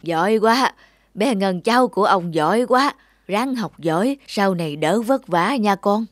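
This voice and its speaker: A man's voice: high voice, animated, measured pace, no echo, clean studio sound. An actor playing a kindly, reassuring old grandfather in a cartoon dub. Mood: tender, weary. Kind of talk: cartoon dubbing. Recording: music behind the voice.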